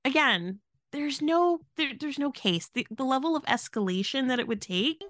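Woman podcast co-host, higher register, opinionated and blunt, exasperated casual talk in a dry recording. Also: expressive, normal speed, fairly bright tone, no room sound.

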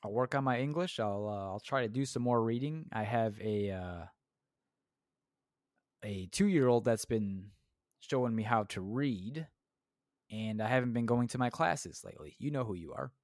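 A man's voice: medium pitch, animated, moderate pace, no echo, clean studio sound. A young man hosting his own podcast, rambling crudely and mocking himself, swearing often. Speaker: podcast host. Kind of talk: solo comedic podcast rant.

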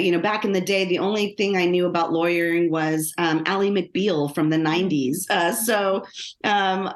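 A middle-aged woman with an American accent, telling her own story conversationally as an interview guest. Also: medium pitch. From Los Angeles, United States. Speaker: podcast guest, lawyer and author. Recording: roomy, echoing sound.

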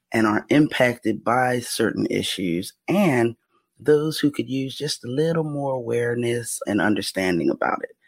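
A woman hosting a podcast, talking in a casual interview chat with a low, raspy voice that gets mistaken for a man's on the phone. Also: dry recording. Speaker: podcast host.

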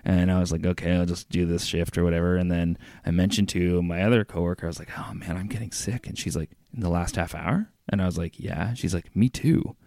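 A man's voice: low voice, fairly even intonation, fairly quick, few pauses, mellow, dry recording, studio quality. A young man co-hosting a podcast, telling stories in a laid-back way with lots of fillers and laughs. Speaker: podcast co-host. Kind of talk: casual chat.

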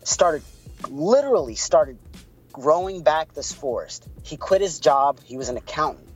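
A husky-voiced man with a Puerto Rican accent, co-hosting a podcast. He tells stories in a loose, chatty way and keeps dropping "man" into his sentences. Soft music plays faintly behind him. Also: medium pitch, low-quality recording. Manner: relaxed, chatty, says "man" a lot. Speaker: podcast co-host. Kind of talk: casual podcast storytelling.

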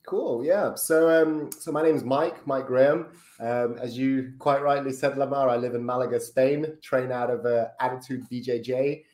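A man's voice: mid-range voice, steady tone, moderate pace, hardly any pauses, a little mellow. A Brazilian-born man, a podcast guest chatting casually with lots of ums and tag questions. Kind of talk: casual interview chat. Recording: roomy echo.

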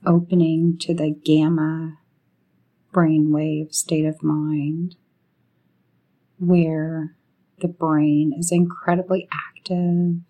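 Female meditation narrator: soothing and relaxed in tone, very slow delivery, short phrases with spacious pauses. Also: low voice. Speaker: guided meditation leader.

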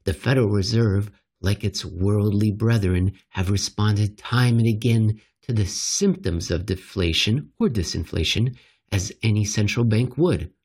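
A man reading a scripted economics commentary aloud as narrator, with an emphatic, rhetorical delivery and deliberate pauses. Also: on the low side, a little mellow, husky.

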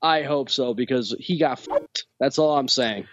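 A man's voice: mid-range voice, unhurried. A man in his thirties ranting casually with lots of swearing as a podcast co-host. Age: 30s. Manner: profane, excitable ranting. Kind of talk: casual chat. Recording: dull lo-fi recording.

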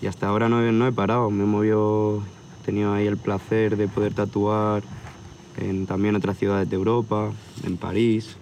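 A tattoo artist from near Barcelona, about thirty, talks in a very deep, flat voice. He rambles easily through his stories with small hesitations. He is recorded outdoors at a viewpoint, with a faint hiss of crickets around him. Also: normal speed. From from near Barcelona, Spain. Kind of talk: casual interview chat. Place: outdoor hilltop viewpoint at sunset. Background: crickets and outdoor ambience.

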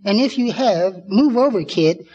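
A man's voice: high-pitched, lively intonation, a little slow, a little mellow, breathy edge, lo-fi sound, heavily acted. A man playing a meek, earnest little amateur poet in 1940s radio comedy, speaking politely and reciting with care. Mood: reciting sweetly. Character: meek, mild-mannered little man who writes comic greeting-card poems. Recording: noisy 1940s radio recording.

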